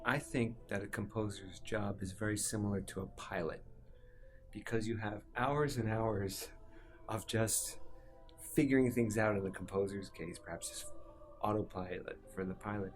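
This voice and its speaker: A man who is a composer reflecting candidly in an interview, thinking aloud in an animated, halting way. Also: fairly low pitch, faint music behind.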